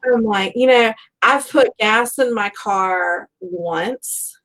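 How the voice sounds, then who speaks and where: A woman's voice: mid-range voice, takes it slowly, fairly bright tone, rough recording. A woman hosts an informal online book show. She talks in a rambling, conversational way, with a self-deprecating streak as she shares bits of everyday life. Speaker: host of a book fan live show. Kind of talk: casual chat.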